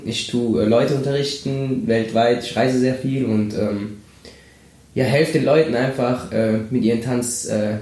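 A man in his twenties from Colombia, a dance coach speaking as a guest in a casual interview, relaxed and hesitant, often starting with "äh". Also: medium pitch. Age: 20s. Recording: roomy echo.

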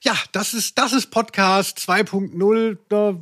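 A man's voice: high-pitched, steady tone, unhurried, fairly bright tone, dry recording, studio quality. A man chatting casually as a podcast co-host, digressing hesitantly as he goes. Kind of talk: casual chat.

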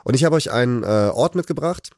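A man hosting a casual chat, relaxed and hesitant, with many fillers. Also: medium pitch, brisk, no echo, studio quality. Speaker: podcast host.

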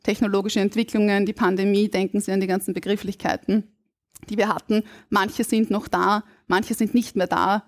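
Woman, Austrian German accent, linguist, live podcast, measured, explanatory. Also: mid-range voice, flat delivery. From Burgenland, Austria. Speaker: guest linguist. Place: stage before a live audience.

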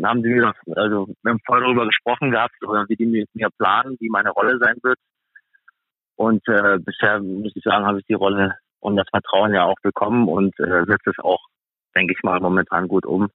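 A man with a fairly low voice heard through a narrow, phone-like connection with little room sound. He answers as an interview guest in a casual way, feeling for his words as he goes. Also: dry recording. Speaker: guest, professional ice hockey player. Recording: thin phone-like band-limited sound.

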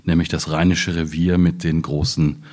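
Man, deep voice, dark and mellow, hesitant phrasing, poet in reflective literary talk. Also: little pitch movement, normal speed. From Ruhr area, Germany.

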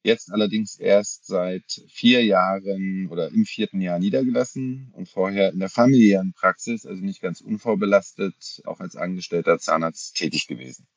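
A man in his forties with a fairly low voice talks as a podcast guest. He speaks in a measured way, often correcting himself mid-sentence and using fillers. The sound is dry and close. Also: no room sound. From Germany. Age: 40s. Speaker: dentist guest. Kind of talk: podcast interview.

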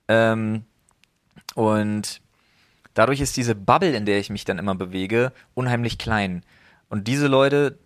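Man, thirties, podcast co-host, casual and rambling, average pitch. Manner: rambling, reflective, many fillers. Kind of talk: casual chat.

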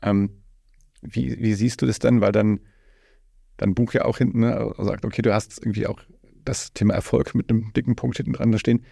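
Low, gravelly, mellow male podcast host. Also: little pitch movement, a little fast, no room sound, clean studio sound. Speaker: podcast host interviewing a guest. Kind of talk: casual conversational podcast interview.

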